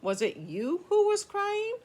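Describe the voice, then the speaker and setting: A woman's voice: very high voice, wildly swooping pitch, slow, a little mellow, pitched above the performer's own voice, full character voice. A woman voicing a lonely, earnest young male beaver in a storybook, calling out in a plaintive, childlike way. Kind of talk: storybook character voice.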